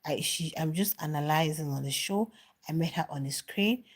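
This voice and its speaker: A woman's voice: low-pitched, moderate pace. A middle-aged woman talking casually on a live stream about a reality show, answering viewers' comments and repeating phrases for emphasis.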